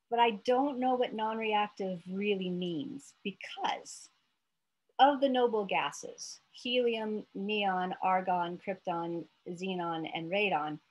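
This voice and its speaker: An American woman in her late forties giving an informal, halting lesson with storytelling, thinking aloud as she explains. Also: mid-range voice, rough recording. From United States, Iowa. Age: late 40s. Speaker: therapist presenting an educational video letter.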